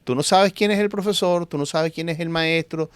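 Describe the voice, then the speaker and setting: A man's voice: fairly high pitch, moderate pace, smooth, no room sound. A middle-aged man with a Venezuelan accent, a doctor talking as a guest in an interview, emphatic and repeating phrases for stress. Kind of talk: conversational interview.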